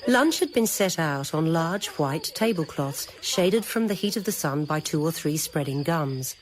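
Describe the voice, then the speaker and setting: A woman narrating a dramatic scripted story in a deliberate, literary manner. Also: low-pitched, animated, crowd noise. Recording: noisy old radio recording.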